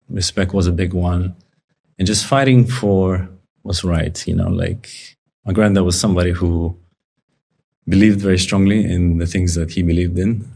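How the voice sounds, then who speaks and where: Young guy from cyprus, low voice, laid back, kinda thoughtful, says like and you know a lot. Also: vocal fry, husky. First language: Bulgarian. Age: young adult. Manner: relaxed, reflective, many fillers and hedges. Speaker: guest. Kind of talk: casual interview conversation.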